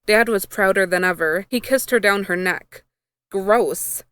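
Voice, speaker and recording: A woman narrates a first-person story in a scripted read-aloud style. Her voice is clean and smooth at a middling pitch, with a very dry recording that has no room sound. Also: unhurried, fairly bright tone, dry recording. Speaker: fan fiction reader.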